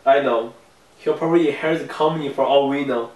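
A man's voice: medium pitch, quick pace, a little mellow, close to an everyday voice. A young man plays a young mob heir who talks in a dry, curt, offhand way. His voice sits in the middle range and sounds roomy and distant, picked up by a camera mic across a living room. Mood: cool. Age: young adult. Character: young male mob heir Hamlet, cool and defiant. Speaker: actor in an amateur mob drama.

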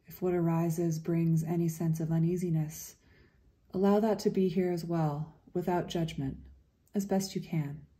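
A woman leading a guided meditation in a gentle, unhurried, soothing way, leaving long pauses between phrases. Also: lowish voice, fairly even intonation.

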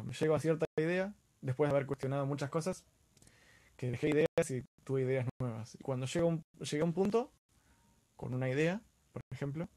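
A man's voice: mid-range voice, darkish tone, no room sound. A man with an Argentine Spanish accent, talking casually and earnestly as the host of a live stream, often repeating words for emphasis.

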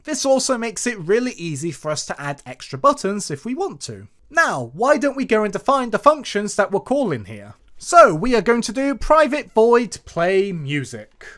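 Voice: medium pitch, big pitch swings, normal speed, few pauses, a bit bright. A programming tutorial presenter narrating code aloud in an explanatory, step-by-step way.

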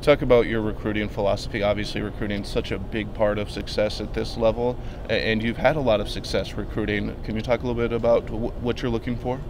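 A man's voice: lowish voice, little pitch movement, quick pace, few pauses, background noise. A man in his thirties hosting a sports interview in the manner of a radio broadcaster. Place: large empty indoor arena.

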